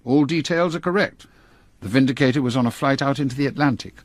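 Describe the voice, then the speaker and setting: A man playing a brisk, authoritative older British intelligence chief in a radio drama, with an English accent and a clipped, commanding delivery. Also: medium pitch, natural voice. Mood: grave, brisk.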